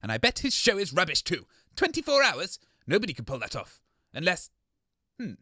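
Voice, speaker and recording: Comedy drama role: grandiose, indignant radio host, male actor, high-pitched and animated. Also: brisk, dry recording, clean studio sound, full character voice. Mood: indignant, scheming. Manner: pompous, indignant. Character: pompous, scheming, theatrical radio co-host.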